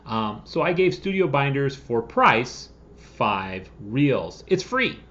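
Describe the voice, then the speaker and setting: A man in his forties talks to camera as the host of a review video. His voice sits at an average pitch, and he explains things in a casual, conversational way. The sound is a little dull, like a webcam recording made at home. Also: sing-song, slow, a bit bright. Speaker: host of a screenwriting software review channel. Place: room at home, indoors. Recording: slightly dull webcam audio.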